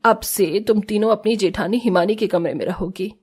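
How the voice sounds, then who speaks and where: A firm, commanding mother-in-law from a cartoon family drama, acted by a woman. She scolds and lays down the law in a mid-pitched voice. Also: moderate pace, darkish tone, husky, close to an everyday voice. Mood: stern.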